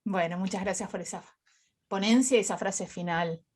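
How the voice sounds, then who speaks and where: A woman's voice: mid-range voice, normal speed, darkish tone. A woman moderating a conference session in an informal spoken style.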